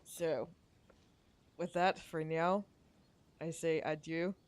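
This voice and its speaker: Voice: medium pitch, steady tone, slow, clear, resonant tone. A podcast host chatting casually on a livestream in an informal, jokey way. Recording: music behind the voice. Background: music.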